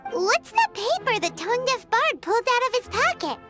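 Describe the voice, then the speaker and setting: A woman voicing a tiny, excitable, nosy female fairy sidekick in a video game, with a squeaky, cutesy delivery. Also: moderate pace, music in the background. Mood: cheeky. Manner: squeaky, cutesy, speaks of self in third person.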